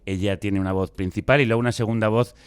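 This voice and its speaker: A man with a low voice and a Castilian Spanish accent hosts a radio interview. He puts questions and comments in a conversational presenter's style. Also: steady tone, fast, smooth. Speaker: host, interviewer.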